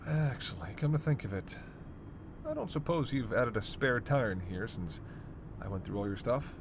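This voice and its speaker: A sardonic male vampire, acted by a man for a roleplay audio drama. His mid-range voice teases and needles with theatrical exasperation and casual swearing. Clear vehicle noise runs behind him. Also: mid-range voice, lively intonation, normal speed, natural voice. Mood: irritated, needling. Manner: sarcastic, needling, theatrically exasperated, foul-mouthed. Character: snarky, teasing immortal male vampire passenger.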